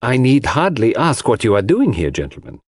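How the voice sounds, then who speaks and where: A man voicing a courteous, shrewd detective with a measured, formal and confiding manner in an audiobook. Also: medium pitch, huge pitch swings, darkish tone, dry recording, clean studio sound, voice pushed up.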